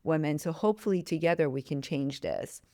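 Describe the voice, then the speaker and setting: A woman's voice: low voice, a little fast, warm tone, dry recording, clean studio sound. A woman from Iran, a podcast host speaking earnestly and emphatically in conversation. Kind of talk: casual interview chat.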